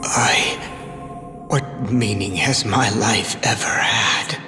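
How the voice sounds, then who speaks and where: An actor playing a desperate, betrayed young knight in a fantasy game, faltering and pleading dramatically. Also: male voice, on the low side, slow pace. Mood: broken despair. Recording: echoing hall, music behind.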